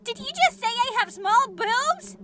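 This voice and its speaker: A woman playing a feisty, excitable young swordswoman in a fantasy audio drama, on a noisy hobby recording. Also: extremely high pitch, a little slow. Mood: indignant, flustered.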